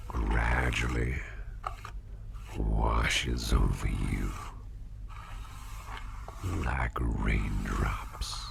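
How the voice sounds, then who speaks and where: Casting: male actor as gentle, reassuring boss for ASMR roleplay; breathy whisper, heavy vocal fry, drawn-out delivery, audible noise behind. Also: extremely low pitch, full character voice. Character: kindly, attentive businessman boss soothing a star employee. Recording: clearly audible background noise.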